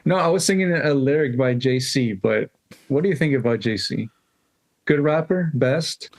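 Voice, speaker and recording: A male podcast co-host with a very creaky, gravelly voice at an average male pitch. He talks in an informal, conversational style. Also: expressive, moderate pace. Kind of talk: casual podcast chat.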